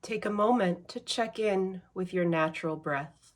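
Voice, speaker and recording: A woman leading a guided meditation in a measured way, leaving long pauses between short phrases. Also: on the low side.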